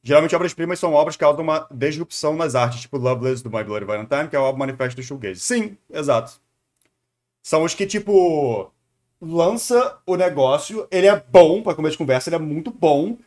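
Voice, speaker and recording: A young man with a Brazilian Portuguese accent and a slightly high voice chats as a live streamer. He comments casually, wandering off on tangents and repeating words in a playful way. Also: lively intonation, a little fast, darkish tone. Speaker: streamer reacting to a video.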